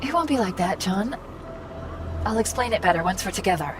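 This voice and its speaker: Earnest AI woman played by an actress over loud noise. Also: animated, fairly quick, lightly acted. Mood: gentle, earnest. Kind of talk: video game cutscene acting. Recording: loud rumbling noise behind the voice.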